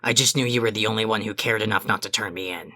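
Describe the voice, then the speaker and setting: A woman playing a gruff, foul-mouthed young alien troll in an audio drama, with a raspy voice and a curt, grudging delivery. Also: extremely low pitch, fairly even intonation, quick pace, sharp tone, heavily acted. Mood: gruff, softening.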